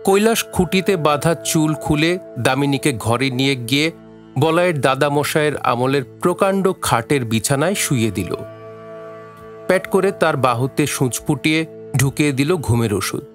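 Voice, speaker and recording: A man's voice: on the high side, animated, moderate pace. A man narrating a scripted dramatic story as the narrator of an audio play. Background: faint music.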